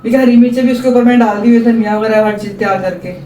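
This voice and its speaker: A woman's voice: on the high side, fairly even intonation, racing, dark tone. A woman with a Pakistani accent, chatting with viewers and explaining each step as she cooks at home. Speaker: home cook, vlog regular. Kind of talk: casual cooking demonstration. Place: home kitchen. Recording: roomy, from a distance, faint background hum.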